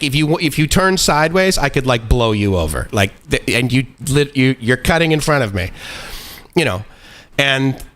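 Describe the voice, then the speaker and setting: A man's voice: mid-range voice, a bit bright. A man in his forties hosting a live stream, talking in a loose, rambling, self-deprecating way with lots of fillers and chuckles. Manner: loose, meandering with many fillers. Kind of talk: casual live-stream monologue.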